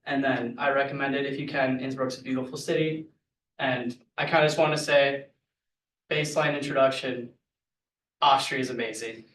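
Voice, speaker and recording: A man's voice: mid-range voice, fairly even intonation, normal speed, a bit bright, slight background noise. A young man, a college senior, casually and upbeatly describing his photos, with many fillers. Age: young adult. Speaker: student speaker. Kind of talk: casual talk about photos. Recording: echoey room, distant mic.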